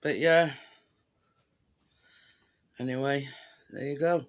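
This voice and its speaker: A man in casual, rambling chat, often halting as he searches for words. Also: medium pitch, fairly even intonation, muffled recording.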